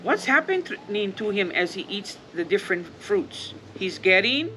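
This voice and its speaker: A woman's voice: mid-range voice, big pitch swings, unhurried, pushed and bright. An older woman hosting children's storytime, reading aloud interactively, prompting with questions and counting out with emphasis. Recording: noisy action-camera sound.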